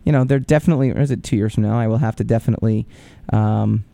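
A man's voice: on the low side, rapid-fire, creaky, warm tone, no echo. A man hosting a podcast, giving casual, opinionated commentary with lots of fillers and restarts. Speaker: podcast host.

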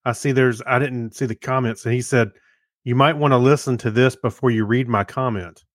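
A man's voice: medium pitch, steady tone, a little fast, no echo, studio quality. An American man co-hosting a podcast in casual, easygoing, joking conversation. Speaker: podcast co-host. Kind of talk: casual chat.

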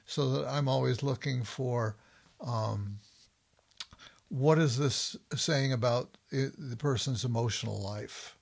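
A man hosting an interview, talking in a thoughtful, halting way with frequent restarts. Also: medium pitch, vocal fry. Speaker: podcast host. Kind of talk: conversational interview.

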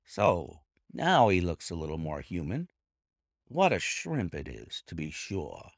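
A man reading aloud and voicing a calm, stern, commanding male detective in a cool, cutting, measured way. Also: low-pitched, vocal fry, dry recording, studio quality, full character voice. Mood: cool, dismissive. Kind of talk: audiobook character voice.

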